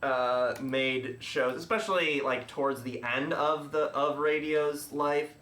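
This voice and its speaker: A male podcast host chatting casually, explaining things in a rambling, halting way with frequent self-interruptions. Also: mid-range voice, steady tone, fairly bright tone. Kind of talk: casual chat. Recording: roomy, echoey room.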